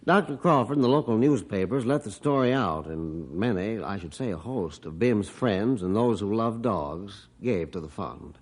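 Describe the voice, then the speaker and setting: A man's voice: fairly low pitch, animated, moderate pace, few pauses. An actor in a 1950s radio drama playing a grieving middle-aged father telling how his dog died, earnest and heartfelt. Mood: somber.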